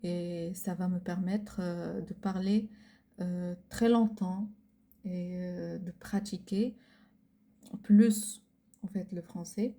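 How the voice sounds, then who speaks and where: A woman whose first language is Arabic, co-hosting a casual podcast and often pausing to search for words. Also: fairly low pitch, steady tone, gravelly, dark tone. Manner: hesitant, searching for words, many fillers. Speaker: podcast co-host. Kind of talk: casual chat.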